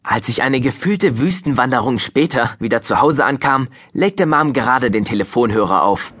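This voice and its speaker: A man's voice: medium pitch, lively intonation, normal speed, a bit bright, breathy edge. A man playing a wry boy who narrates his own story in scripted first person. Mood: matter-of-fact.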